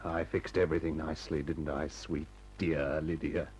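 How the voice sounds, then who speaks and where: An actor playing a gloating, menacing killer in a 1950s radio crime drama, sarcastically sweet in manner. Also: male voice, a bass voice, little pitch movement, normal speed, a little mellow, slight background noise. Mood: gloating menace. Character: gloating, menacing male gunman, a jealous murderous villain.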